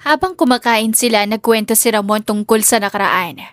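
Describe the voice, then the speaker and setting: A woman's voice: a little high, normal speed, no echo, clean studio sound. A woman narrating a scripted dramatic story as an audiobook reader.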